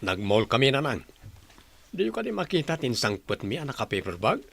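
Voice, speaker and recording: A man's voice: medium pitch, very animated, normal speed, vocal fry, fairly bright tone, a little hiss or hum. A man with an Ilocano accent acting in a radio play as a breezy, defensive, free-spending married son.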